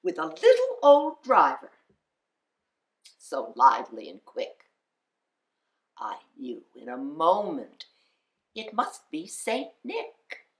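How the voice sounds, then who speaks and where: A woman reading a children's picture-book poem aloud as a storyteller, theatrical and deliberate, with dramatic pauses. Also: lowish voice, wildly swooping pitch. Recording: roomy, echoing voice recording.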